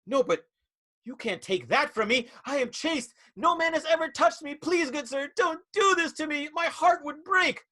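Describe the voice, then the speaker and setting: Guy putting on a squeaky, swooping lady pharmacist, begging and wailing like a soap heroine. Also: expressive, moderate pace, pushed and bright, heavily acted. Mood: pleading. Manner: melodramatic, breathless pleading. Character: frantic, pleading young woman pharmacist. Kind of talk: improvised storytelling.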